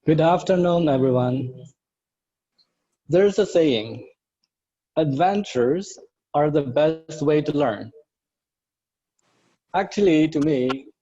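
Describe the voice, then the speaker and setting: A man from China, telling a personal story in a slow, deliberate prepared club speech over a video call. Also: on the high side, dark tone. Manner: slow, deliberate, with simple plain phrasing. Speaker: prepared speaker. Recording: muffled lo-fi audio.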